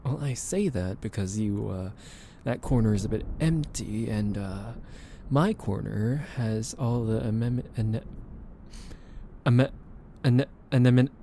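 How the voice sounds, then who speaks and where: Soft-spoken man with a gravelly, mellow voice. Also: on the low side, unhurried, a little hiss or hum. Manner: gentle, teasing, soothing, with soft laughs and hesitant fillers. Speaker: ASMR roleplay voice actor. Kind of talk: soft ASMR roleplay, boyfriend comforting listener.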